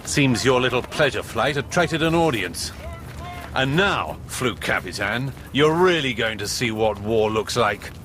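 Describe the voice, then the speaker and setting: Man playing dry sardonic british army major, radio drama, swoopy pitch, faint noise behind. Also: animated, unhurried, lightly acted. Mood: sardonic, firm.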